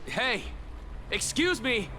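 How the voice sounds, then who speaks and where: A man's voice: very high-pitched, sing-song, slow, noisy background, pitched above the performer's own voice, heavily acted. A man playing an agitated, lost traveler in a fantasy game, questioning insistently and dramatically. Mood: urgent calling. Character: agitated, lost male traveler seeking answers. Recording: loud music behind the voice.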